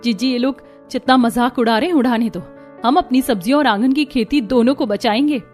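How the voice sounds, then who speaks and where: A woman's voice: fairly high pitch, a little fast, darkish tone, faint music behind, close to an everyday voice. A woman playing a hardworking, earnest young village daughter-in-law in a cartoon.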